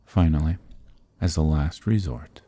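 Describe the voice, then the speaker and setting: A man playing an ancient, smug, dominant archdemon in a dark fantasy ASMR roleplay, speaking slowly and deliberately with a condescending, commanding manner. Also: no room sound. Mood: smug, weary. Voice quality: very deep, gravelly, husky, breathy.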